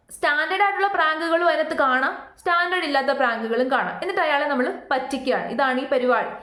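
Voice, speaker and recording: Woman doing reaction commentary, high voice, emphatic, echoey room. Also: fairly bright tone. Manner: emphatic, repeats points with rhetorical questions. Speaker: YouTube commentator. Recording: roomy, echoing sound.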